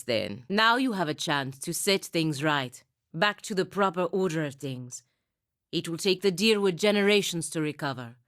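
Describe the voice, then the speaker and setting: A woman's voice: sits low, fairly bright tone, dry recording, clean studio sound. A woman playing a proud, bitter, dutiful warrior knight in a video game, speaking with stern, formal intensity. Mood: grave, measured. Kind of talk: video game character acting.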